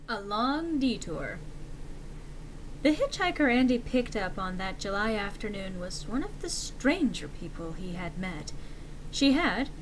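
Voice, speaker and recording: A woman with a medium-pitched voice reads scary stories aloud. Her delivery is theatrical and measured out with dramatic pauses. The amateur recording has clear background noise. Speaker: narrator. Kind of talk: dramatic horror story reading.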